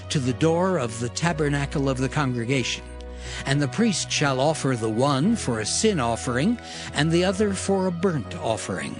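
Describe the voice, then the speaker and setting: A man with a fairly high voice narrates scripture in a formal, measured style. Faint music sits behind his reading. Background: soft music.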